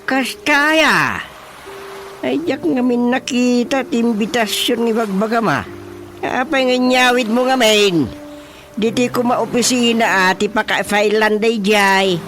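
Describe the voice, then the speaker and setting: A man playing a grumbling, excitable older village father in a radio comedy drama, exclamatory and scolding, with an Ilocano Filipino accent. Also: extremely high pitch, measured pace. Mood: excited. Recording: radio drama with music underneath.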